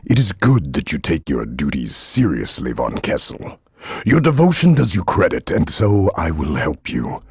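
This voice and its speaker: Very deep, gravelly warrior priest. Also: male voice, huge pitch swings, moderate pace, no echo, clean studio sound, full character voice. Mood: grave counsel. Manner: solemn, weighty, dramatic. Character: stern, devout warrior priest, grave and righteous. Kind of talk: fantasy video game voice acting.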